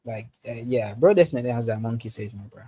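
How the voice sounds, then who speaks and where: A man's voice: mid-range voice, animated, quick pace, dark tone, breathy edge. A man debating anime casually as a podcast panelist, insistent and full of slang. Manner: slangy, insistent, repeatedly addressing others as bro.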